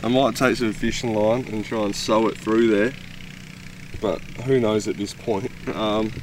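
A young Australian man chats loosely to the camera, full of ums and offhand swearing. His voice sits a touch low. Clear outdoor noise runs under the camera mic. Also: fairly even intonation, measured pace, darkish tone, low-quality recording. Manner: laid-back, swears casually, lots of ums. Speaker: fishing vlogger. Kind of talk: casual vlog chat. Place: in a car, then outdoors on a riverbank. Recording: outdoor and road noise behind.

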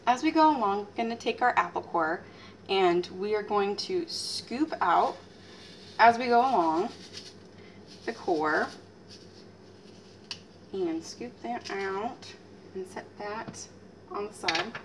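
A woman in her thirties, chatting casually as she demonstrates a recipe in her home kitchen, teasing herself and letting out lively exclamations. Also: mid-range voice, slow pace, pauses often. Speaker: home cooking channel host. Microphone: fixed camera mic at a distance. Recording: distant camera mic with faint room noise.

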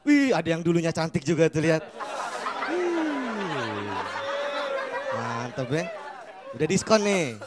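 High-voiced young comedian teasing a crowd. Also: male voice, very animated, takes it slowly, pauses often, loud music behind the voice. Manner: teasing the audience, acting out quoted people in higher voices. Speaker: stand-up comedian. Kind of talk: stand-up comedy set. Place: tv studio comedy stage with a live audience. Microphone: handheld mic. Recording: laughing studio crowd, background noise.

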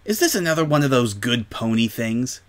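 A man's voice: medium pitch, big pitch swings, fairly quick, lightly acted. A man voicing a blunt, impulsive young tomboy pony in a storybook reading. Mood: skeptical. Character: brash, blunt, skeptical young tomboy filly.

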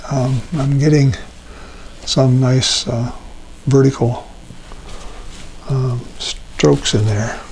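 An older man demonstrating a watercolor painting as an instructor, talking himself through each step with frequent ums and pauses. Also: medium pitch, a little hiss or hum, poor audio. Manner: relaxed, thinking aloud with many ums. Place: art studio at a painting table.

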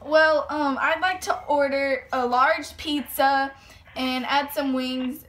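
High-pitched, bright female voice; slangy, giggly prank caller at home. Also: fairly even intonation, slow. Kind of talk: casual prank phone calls with friends. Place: small furnished bedroom indoors.

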